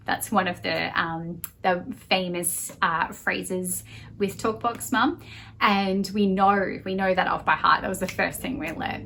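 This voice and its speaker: A woman in her thirties giving a casual, conversational review to camera as a homeschooling parent, softening her points as she goes. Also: mid-range voice. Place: small home office, indoors. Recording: clear background room noise.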